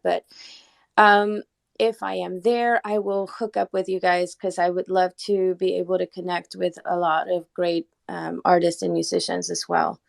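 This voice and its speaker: A woman's voice: medium pitch, creaky. A woman chatting casually and rambling a little as a participant in a group discussion. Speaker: singer, audience participant. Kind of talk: casual chat.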